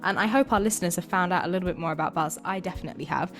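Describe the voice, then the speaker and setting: A woman interviews a guest as a podcast host. Her voice sits slightly low and her delivery is informal and chatty. Music plays faintly behind her. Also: very fast, a bit bright.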